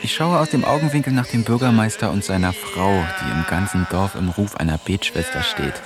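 A man's voice: on the low side, fairly quick, over music. A man narrating a radio drama in the first person, dry and mocking.

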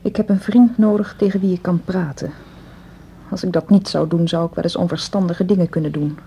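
An actress playing a frightened, insistent young English woman in a radio drama, speaking earnestly and deliberately. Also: lowish voice, steady tone, dark tone, slight background noise, natural voice. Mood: urgent, insistent. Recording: dull, lo-fi radio drama recording.

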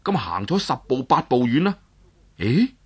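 A man telling a traditional story, dramatic and expressive, swinging his pitch up and down. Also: normal speed, slightly rough.

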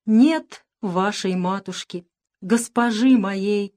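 A woman's voice: mid-range voice, expressive, moderate pace, darkish tone, close to an everyday voice. Woman voicing a tearful, devoted old valet. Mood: tearful grief. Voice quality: muffled, hollow. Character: grieving elderly male valet, tearful and devoted.

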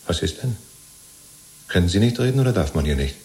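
Low-voiced, anxiously chummy party loyalist. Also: male voice, very animated, a little fast, a little mellow. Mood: self-justifying. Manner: rambling, ingratiating, chummy, seeking reassurance. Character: chatty, servile male party loyalist, jailed and anxiously self-justifying. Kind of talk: 1970s German radio play acting.

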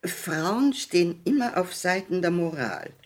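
An actress playing a stern, disapproving older aristocratic matron in a 1960s German radio play, haughty and pointed. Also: female voice, on the low side, expressive, measured pace.

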